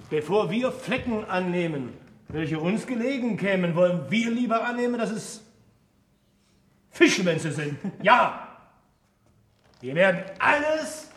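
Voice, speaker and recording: A passionate man of science speaks in a fairly high voice with theatrical weight. He is stubborn and questioning, setting out each phrase deliberately and emphatically. The radio play recording has a clearly reverberant room around him. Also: fairly bright tone. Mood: musing, solemn. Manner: declamatory, deliberate, emphatic stage delivery.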